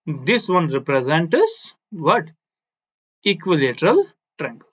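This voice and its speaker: A man's voice: fairly high pitch, huge pitch swings, slow pace, husky, lo-fi sound. A man whose first language is Kashmiri, teaching physics on a board and repeating key phrases, often checking with "no?". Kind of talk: blackboard physics lecture.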